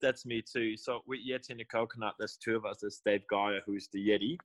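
A man's voice: lowish voice, steady tone, fairly quick, dry recording. Rambling young man, dry sound. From Belgium. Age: young adult. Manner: rambling, restarts and self-corrections. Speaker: guest winemaker. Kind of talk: casual interview.

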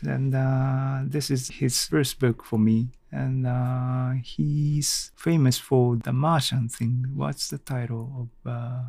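A man's voice: medium pitch, gravelly, darkish tone, dry recording. A man hosting a casual solo podcast in an audio-blog style, speaking hesitantly and searching for his words. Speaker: podcast host.

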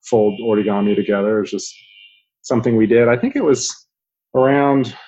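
A middle-aged man from Japan, a physician, telling his story in a measured way with many "uh" pauses. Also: on the low side, warm tone, slightly rough. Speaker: guest, father and physician. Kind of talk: casual interview talk. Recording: slightly dull lo-fi recording.

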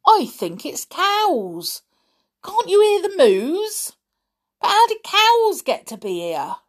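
A woman voicing a scared, uneducated gruff man in a storybook, blustering and exclaiming in colloquial speech. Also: on the low side, normal speed, close to an everyday voice. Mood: amazed.

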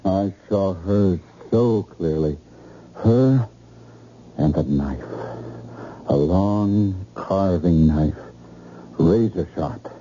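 An actor playing a bewildered, frightened husband in old-time radio drama, halting and repeating his words breathlessly. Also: sits low, mellow, slight background noise. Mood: terrified, shaken. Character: frightened, bewildered husband haunted by a murderous nightmare. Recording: muffled old radio broadcast with music.

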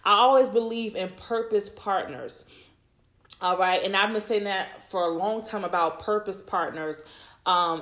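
Thirty-something woman, rambly faith talk, lots of you knows and restarts. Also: mid-range voice. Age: 30s. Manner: rambling, repeats words, many "you know"s. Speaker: podcast host. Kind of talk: faith-based personal talk.

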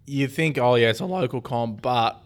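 Smooth-voiced man hosting a podcast. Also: medium pitch, normal speed. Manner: relaxed, rambling, self-correcting. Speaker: podcast host. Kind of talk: casual sports podcast chat.

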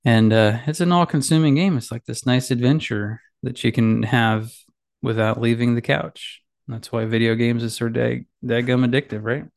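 Dad podcaster, gravelly mid-pitched voice with a nasal edge, casual and self-deprecating, solo from his home office. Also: fairly even intonation, normal speed. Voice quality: slightly nasal. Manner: rambling, self-deprecating, many fillers and restarts. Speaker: podcast host. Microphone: boom mic with headphones.

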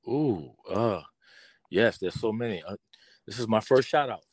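A middle-aged American man with a fairly low voice talks off the cuff as a guest. His delivery is informal and heartfelt, and the sound is dry with little room around it. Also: expressive, measured pace, breathy edge, dry recording. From Pontiac, Michigan, United States. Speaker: podcast guest, performing artist. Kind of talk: casual conversational interview talk.

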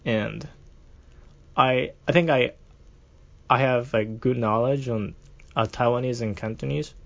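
Young japanese guy, gravelly fry in his voice, lots of um and like, sounds a bit dull and lo-fi. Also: mid-range voice, a little slow, a bit bright. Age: young adult. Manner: hesitant, many fillers and restarts, laughs easily. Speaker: guest. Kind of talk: casual interview chat.

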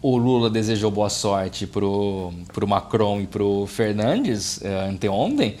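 A man's voice: fairly low pitch, animated, slow pace, a little mellow. A man with a Brazilian Portuguese accent co-hosting a podcast, explaining politics casually with many hesitations and fillers. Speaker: podcast co-host.

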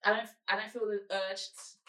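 A woman's voice: mid-range voice, little pitch movement, normal speed, bright, forceful tone. A young woman giving rambling, jokey answers in a casual chat with a friend. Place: echoey room. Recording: echoey room, distant from mic.

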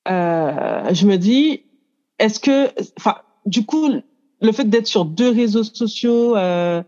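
A woman in her thirties, a coaching client, explaining her situation in a casual, hesitant way with many pauses and restarts. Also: mid-range voice, lively intonation, mellow, highs cut off. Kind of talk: casual chat. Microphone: handheld mic.

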